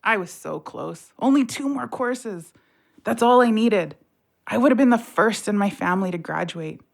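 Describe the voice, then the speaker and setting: A woman's voice: medium pitch, lively intonation, normal speed, creaky. An actress playing a bitter, sardonic widowed mother of three in a naturalistic audio play, blunt and colloquial in her talk. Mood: weary, resigned. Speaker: voice actor in audio drama.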